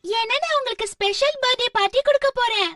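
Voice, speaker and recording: Very high-pitched eager little girl. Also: quick pace, slight background noise. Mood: pleading. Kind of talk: Tamil children's cartoon dub. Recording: distant sound, loud children's music underneath.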